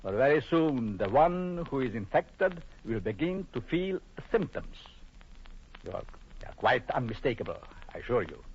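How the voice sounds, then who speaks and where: Vintage radio horror villain: jealous doctor, silky threats, very animated delivery, muffled broadcast sound. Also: male voice, mid-range voice, moderate pace, husky. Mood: gloating menace. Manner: menacing, mock-polite, theatrical. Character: vengeful jealous husband, coldly sinister doctor with mock courtesy. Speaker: actor.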